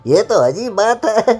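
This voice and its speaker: A woman voicing a bumbling, easily amused king in a Hindi comedy cartoon, exclamatory and deliberate. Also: mid-range voice, a little mellow, breathy edge, exaggerated voice. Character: drowsy, easily amused king judging petty quarrels. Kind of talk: Hindi animated comedy acting.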